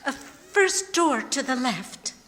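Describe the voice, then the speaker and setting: Woman acting a high-voiced, formal switchboard operator. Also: big pitch swings, slow, a bit bright, exaggerated voice. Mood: polite, startled. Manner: formal, announcing. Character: dutiful female hospital switchboard operator, formal and helpful. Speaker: actor in a radio play. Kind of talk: radio drama acting. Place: live stage performance in a hall. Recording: echoey live hall.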